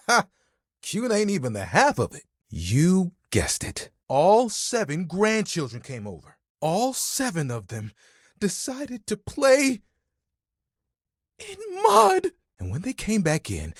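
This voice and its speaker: A man's voice: sits high, slightly rough, no room sound, studio quality, full character voice. A man voicing a friendly, vain, dramatic living towel in a video game, chatty and playful with an easily wounded manner. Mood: dramatic, grieving. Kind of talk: animated, conversational game character acting.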